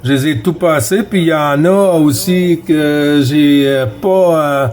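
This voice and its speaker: A man's voice: on the high side, unhurried, a little mellow. A man with a Quebec French accent chatting casually as a CB radio operator, hesitant and repeating radio codes. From Quebec, Canada. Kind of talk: casual radio contact chat.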